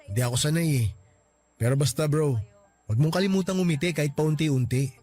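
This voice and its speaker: A man's voice: on the high side, brisk, natural voice. An actor playing a young man's close friend who comforts him and teases him along, in a Filipino radio drama. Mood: encouraging. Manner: gentle, reassuring, teasing. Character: supportive joking male friend of the grieving man. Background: soft music.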